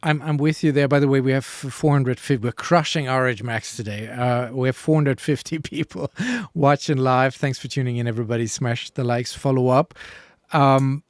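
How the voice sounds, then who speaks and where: Casting: man, mid pitch, easygoing livestream host, voice going hoarse, dry close sound. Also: fairly even intonation, moderate pace, dry recording. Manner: agreeable, frequent short affirmations. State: losing his voice. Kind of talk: casual livestream hosting.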